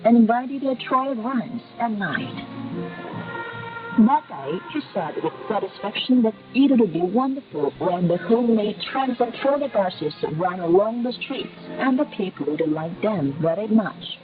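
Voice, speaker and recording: A woman's voice: mid-range voice, lively intonation, unhurried. A woman radio announcer reading scripted state broadcast narration in a formal, reverent manner over shortwave radio. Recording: narrowband shortwave radio, muffled, music behind. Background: music.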